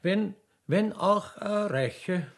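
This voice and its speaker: A man voicing a rich, lovesick Viennese butcher's widow who wheedles in a halting, folksy way in a satirical stage play. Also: sits high, pitched above the performer's own voice, full character voice. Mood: coy, wheedling.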